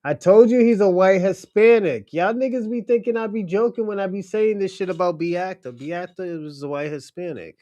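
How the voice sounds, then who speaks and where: A man hosting a livestream, reacting in loud, laughing, slang-heavy casual commentary. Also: sits high, brisk, few pauses. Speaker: livestream host.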